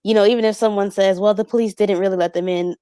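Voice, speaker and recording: Young woman thinking out loud, lots of ums and restarts, dry close sound. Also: mid-range voice, fairly even intonation, fast talker, smooth, no echo. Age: young adult. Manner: hesitant, thoughtful, many fillers and restarts. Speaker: panelist. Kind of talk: casual reflective discussion.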